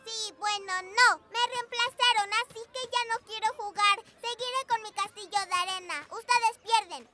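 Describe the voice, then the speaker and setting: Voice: very high-pitched, normal speed, hardly any pauses, background noise. An actor voicing a small, stubborn little-brother rabbit, childish and pouty, in a children's cartoon dub in Latin American Spanish. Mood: hurt, pouty. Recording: music behind the voice.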